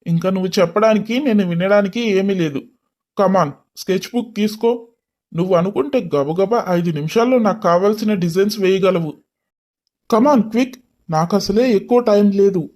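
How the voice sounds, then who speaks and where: A man's voice: high voice, moderate pace, darkish tone, close to an everyday voice. A man playing an irritated, taunting young businessman in a dramatised story, scolding and mixing in English words. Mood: urgent, pressing.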